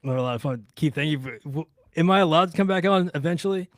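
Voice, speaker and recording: A man's voice: fairly high pitch, quick pace, no echo, studio quality. A man in his thirties chatting casually as a podcast producer and host, joking and self-deprecating.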